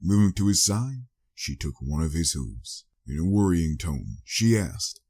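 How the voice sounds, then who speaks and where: A man's voice: deep voice, very animated, unhurried, dark tone, slightly rough. A man reading a scripted story as a dramatic audiobook narrator.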